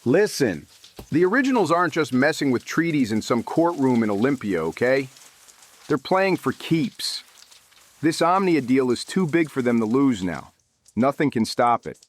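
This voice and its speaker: An actor playing a wary, frightened, gruff middle-aged father in hiding in a noir audio drama, agitated and guarded. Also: mid-range voice, very animated, moderate pace. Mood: defensive, warning.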